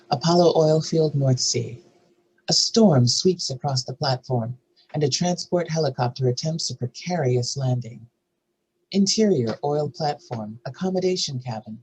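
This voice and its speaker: A man's voice: on the high side, a little mellow, husky, rough recording. A man narrating screenplay stage directions at a table read.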